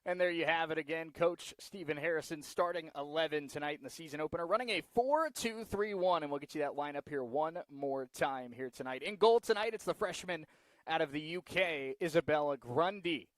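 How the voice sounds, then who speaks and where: A man announcing a live soccer broadcast in a measured, list-like way with emphatic phrase openings. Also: on the high side, no echo. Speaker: sports play-by-play announcer. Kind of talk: live soccer broadcast commentary, reading lineups.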